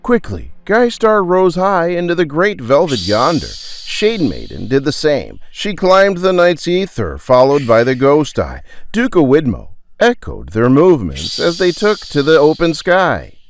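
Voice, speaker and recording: A man's voice: on the high side, wildly swooping pitch, measured pace, hardly any pauses, a little hiss or hum. A man narrating a scripted fantasy adventure as a theatrical, suspenseful storyteller. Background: background music.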